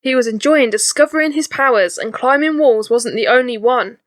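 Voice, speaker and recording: High-voiced woman narrating a children's story. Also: normal speed. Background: faint background music.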